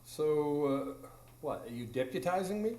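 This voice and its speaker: A man's voice: fairly high pitch, animated, moderate pace, dark tone, lightly acted. A man playing a laid-back, skeptical steamboat captain, hesitant and wry, in a live radio drama. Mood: wry, bemused. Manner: hesitant, wry, drawling interjections. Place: small improv theatre stage. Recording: roomy live stage recording.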